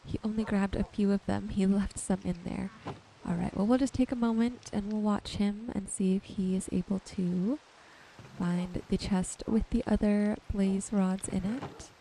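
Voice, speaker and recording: A woman giving relaxed ASMR-style gameplay commentary, gently thinking aloud without hurry. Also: medium pitch, moderate pace, vocal fry, slight background noise, low-quality recording. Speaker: gaming video host.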